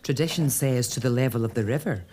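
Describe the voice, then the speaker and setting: A woman's voice: extremely low pitch, fast talker, dark tone, slight background noise. An actress playing a curious, determined lady of a castle in a period audio drama, probing and earnest. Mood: reflective.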